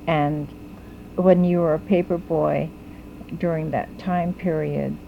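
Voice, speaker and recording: A woman interviewer asking deliberate, formally worded questions in an oral history interview. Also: low-pitched, steady tone, creaky, narrow, tinny audio, a little hiss or hum.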